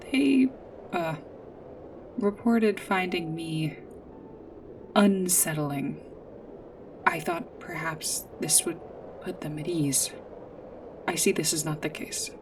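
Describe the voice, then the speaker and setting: Woman as android companion for sci-fi ASMR roleplay: low-pitched, polite, earnest, formally precise, a little hesitant; set back from the mic, clear music behind. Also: distant mic. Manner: formal, precise, literal wording with hesitant pauses. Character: polite, earnest female android guide on a snowy planet.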